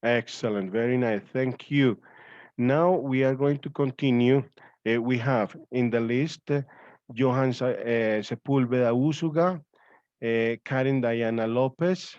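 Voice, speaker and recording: A man hosts an online event informally, speaking haltingly and repeating himself. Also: medium pitch, a little mellow, no echo. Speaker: host of a student reading-aloud event. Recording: dull, lo-fi online call audio.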